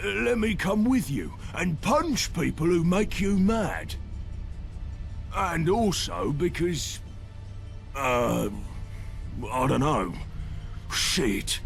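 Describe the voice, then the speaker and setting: An actor playing a simple, good-hearted big brawler in a video game, with a gravelly British voice and a halting, earnest manner that fumbles for words. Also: male voice, fairly high pitch, very animated, soft background music, full character voice. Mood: pleading, hesitant. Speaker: companion character in a video game.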